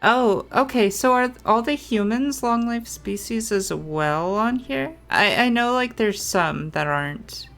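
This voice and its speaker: A woman's voice: fairly high pitch, unhurried, gravelly, hardly any pauses, fairly bright tone, faint music behind. A woman game streamer giving casual playthrough commentary, thinking aloud in a hesitant, rambling, self-correcting way.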